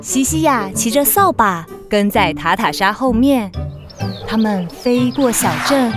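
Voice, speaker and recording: High-voiced woman narrating a children's story. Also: expressive, a little slow. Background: music.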